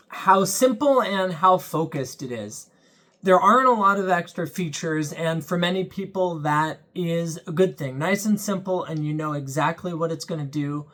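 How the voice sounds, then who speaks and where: A man's voice: a little high, fairly bright tone. A young man hosting his own channel, giving a casual, earnest product review in a plain-spoken way with frequent ums. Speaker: channel host reviewing a weather radio.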